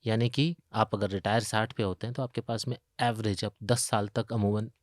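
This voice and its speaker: A man's voice: on the low side, flat delivery, normal speed, no echo, studio quality. A man with an Indian accent explaining as an expert in an interview, posing questions and working through examples. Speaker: retirement expert, pension fund investment chief.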